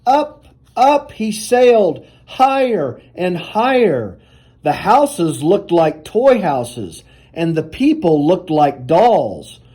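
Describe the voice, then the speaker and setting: A man reading a children's picture book aloud in an animated, deliberate storytelling manner. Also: high voice, slightly rough.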